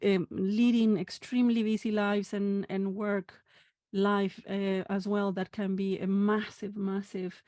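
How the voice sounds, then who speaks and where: A woman's voice: medium pitch, flat delivery, a little slow, dark tone, dry recording, clean studio sound. Woman hosting a podcast interview. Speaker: podcast host. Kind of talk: interview introduction and questions.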